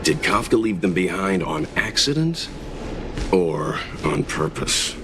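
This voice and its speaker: A man voicing a calm, mature mentor in a video game, thoughtful and serious in his delivery. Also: low-pitched, a little slow. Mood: pensive. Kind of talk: scripted video game voice acting. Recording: loud music behind the voice.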